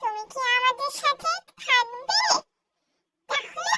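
Kind fairy girl, children's cartoon, squeaky high, bright, gentle, friendly. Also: sing-song, slow pace, dry recording, heavily acted. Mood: inviting. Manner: gentle, reassuring.

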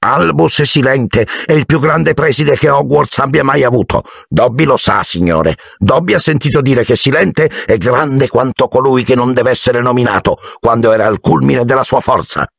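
A man voicing a small, anxious, devoted male house-elf for a storybook, squeaky and hoarse, servile and deferential. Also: normal speed, few pauses, fairly bright tone, no echo, studio quality. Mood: earnest. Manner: speaks of himself in third person, deferential.

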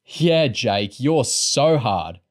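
A man voicing an excitable, combative young girl with loud, emphatic speech in an audiobook. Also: mid-range voice, drawn-out words. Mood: jeering.

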